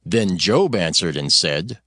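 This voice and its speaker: A man narrating chapter headings in a scripted reading, measured and deliberate. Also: animated, pushed and bright, dry recording, clean studio sound. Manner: deep, measured announcing.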